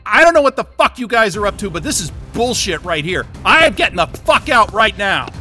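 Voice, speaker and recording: High-strung, panicked hothead. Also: male voice, very animated, brisk, sharp tone, faint music behind, exaggerated voice. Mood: panicked, angry. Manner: agitated, sweary, repeating words. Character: panicked, defiant, hot-headed man trapped in a facility. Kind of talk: audio drama acting.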